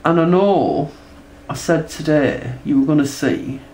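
A woman's voice: low voice, animated, a little slow, mellow, a little hiss or hum, rough recording. A woman with a cold, chatting casually as she demonstrates her textile art and sketches, often asking tag questions. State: congested from a cold. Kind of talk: casual tutorial narration while drawing. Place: indoors at a table.

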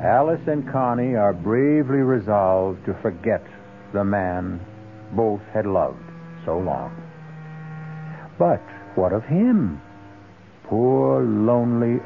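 A man hosting and narrating a 1970s radio mystery in a dramatic style with deliberate pauses. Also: on the low side, gravelly, a little hiss or hum. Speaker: host, narrator. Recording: dull old radio broadcast over music.

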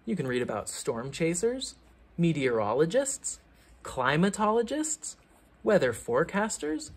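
A man with a fairly high, husky voice reads aloud for children. His pitch swings in a very lively way, with theatrical emphasis and teacherly, explanatory phrasing. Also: a little slow. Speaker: children's book reader, teacher. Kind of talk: expressive read-aloud of a children's science book.

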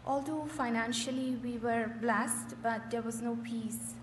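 Higher-voiced middle-aged woman, very echoey hall. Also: a little slow. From Afghanistan. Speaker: church member giving testimony. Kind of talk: personal testimony in short interpreted phrases. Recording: echoey hall with faint noise.

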